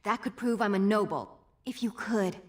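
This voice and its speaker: A woman's voice: medium pitch, moderate pace, close to an everyday voice. A woman playing a shy, hopeful young dwarf scholar in a fantasy video game, with a hesitant, apologetic way of talking.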